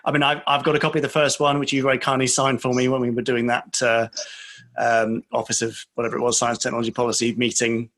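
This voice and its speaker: A man's voice: medium pitch, flat delivery, fast, fairly bright tone. A man hosting a casual podcast interview, politely putting questions to his guest in a hesitant, appreciative manner.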